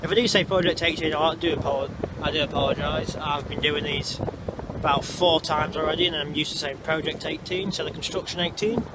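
Chatty man walking outdoors. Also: a little high. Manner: chatty, explanatory, a bit halting. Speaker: vlogger. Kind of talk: walking tour commentary. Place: outdoors in urban streets and underpass. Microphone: handheld camera mic. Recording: noisy outdoor street sound. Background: traffic and street ambience.

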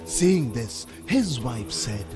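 Animated male children's story narrator. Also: medium pitch, slow pace, music in the background. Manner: dramatic storytelling.

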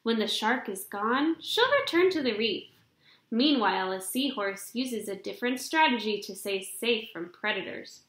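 Bright young woman reading a picture book. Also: fairly high pitch, normal speed. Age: young adult. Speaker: read-aloud storyteller. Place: small indoor room at home. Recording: roomy, echoing home webcam recording.

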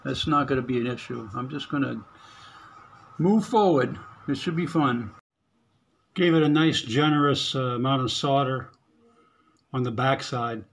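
A man giving casual, unscripted how-to commentary on an electronics project, halting as he thinks aloud. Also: mid-range voice, creaky. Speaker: hobbyist narrating an electronics modification. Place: indoors at a work table.

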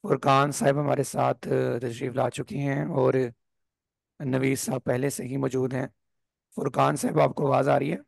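Male teacher with a sore throat, gravelly and unhurried, on a dry video call. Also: mid-range voice, flat delivery, no echo. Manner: slow explanatory, frequent throat clearing. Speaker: Persian teacher. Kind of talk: online class lesson.

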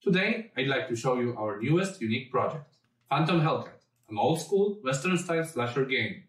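A man's voice: medium pitch, animated, moderate pace. A man in his thirties, a game creative director, giving a scripted presentation to camera. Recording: roomy, distant from mic.

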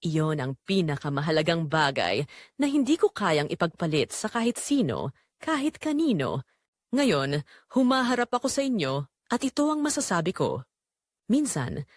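Expressive Filipino woman narrating a drama. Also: on the low side, no echo, studio quality. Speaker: storyteller narrating a Tagalog audio drama. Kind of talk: dramatic first-person scripted narration.

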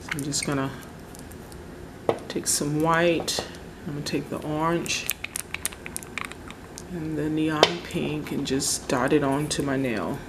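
A woman casually talking through a home nail tutorial, relaxed and chatty, often searching for words. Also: low voice, slow, pauses often, background noise. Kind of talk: casual how-to narration. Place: home table, indoors.

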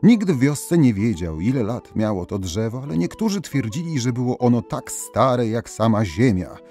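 A man tells a scripted folk tale. His voice is mid-pitched and warm in tone, with soft music behind it. He narrates as a storyteller. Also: very animated, moderate pace.

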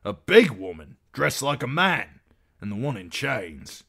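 A harried, rustic innkeeper husband, played by a male reader in a storybook. He grumbles defensively in a raised, forceful voice that jumps about in pitch. His speech is folksy and aggrieved. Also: very animated, measured pace, gravelly, breathy edge, full character voice. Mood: defensive, accusing.

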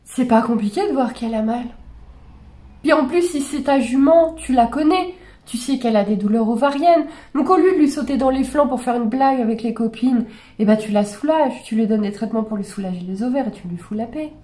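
A woman in her forties, slightly hoarse from a cold, giving exasperated, incredulous reaction commentary to videos from her bedroom desk, with many fillers and restarts. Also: fairly high pitch, fairly quick, dark tone. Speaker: horse channel host reacting to videos. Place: small bedroom with gaming chair.

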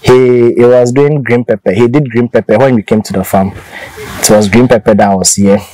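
A man's voice: medium pitch, fairly even intonation, normal speed, mellow, no echo, low-quality recording. A man in his thirties from Ghana, a farmer telling his story in a casual interview, easygoing and restarting often, recorded outdoors under a thatched shelter on a lapel mic.

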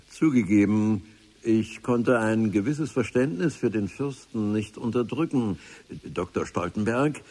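Dry ironic guy narrating a radio play, low mellow voice, bit husky. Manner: wry, measured.

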